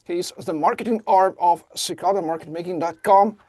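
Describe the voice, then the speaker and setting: A man who speaks Russian natively hosts a podcast interview, enthusiastic and a little halting in his phrasing. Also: sits high, darkish tone, no echo. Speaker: podcast host. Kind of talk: casual interview hosting.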